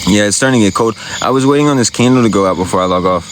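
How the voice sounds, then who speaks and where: Deep voiced guy rambling on a phone livestream, crickets loud behind him. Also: rapid-fire, noisy background. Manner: laid-back, rambling, slangy. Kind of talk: casual livestream chat answering viewers. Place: outdoors at night by a campsite. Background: crickets and night insects.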